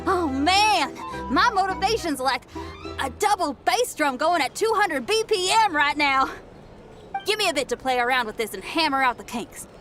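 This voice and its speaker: An excitable young female musician from a video game. Her voice sits extremely high and bursts with slangy energy. Faint music plays behind her. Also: normal speed. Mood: hyped. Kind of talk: video game character voice acting.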